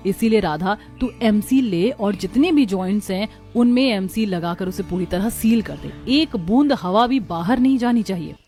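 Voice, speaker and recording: A woman's voice: mid-range voice, animated, normal speed, hardly any pauses, mellow, soft background music, lightly acted. A woman voicing a confident eldest daughter-in-law in a village cartoon, explaining things in an assured, instructive way. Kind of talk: scripted cartoon dialogue.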